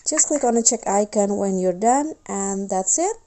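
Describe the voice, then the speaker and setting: A woman narrating a casual step-by-step software tutorial as its host. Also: mid-range voice, lively intonation, normal speed, background noise.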